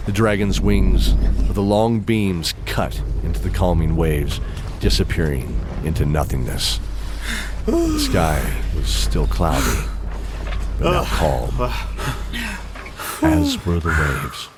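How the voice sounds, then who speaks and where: A man narrating a scripted fantasy drama in measured, dramatic phrases with deliberate pauses. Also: low voice, wildly swooping pitch, very noisy.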